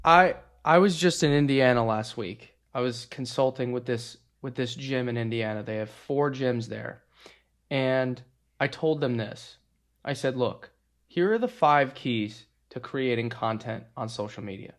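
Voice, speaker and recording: A man's voice: medium pitch, unhurried. A man in his twenties co-hosting a podcast, laying out his points confidently one by one. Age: 20s. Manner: confident, lays out points as a list. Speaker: podcast co-host and consultant. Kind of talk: casual podcast conversation.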